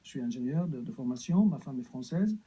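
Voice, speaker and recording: A man's voice: mid-range voice, animated, fairly quick, mellow, slightly rough, highs cut off. A man from Egypt, a guest answering interview questions hesitantly, with many fillers and restarts.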